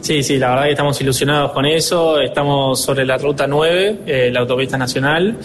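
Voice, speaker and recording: Argentine man in his twenties, a dealership director interviewed on radio, mid-pitched voice, faint rumble behind. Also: brisk. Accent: Argentine Spanish. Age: 20s. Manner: earnest, upbeat, with many filler hesitations.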